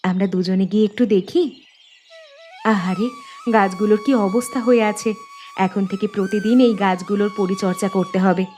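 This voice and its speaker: A gentle, caring village sister-in-law from a cartoon story, voiced by a woman. She speaks softly and earnestly like a hardworking housewife. Light music plays behind her. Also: medium pitch, steady tone, moderate pace, natural voice. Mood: caring, agreeable. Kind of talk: cartoon dialogue acting. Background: background music.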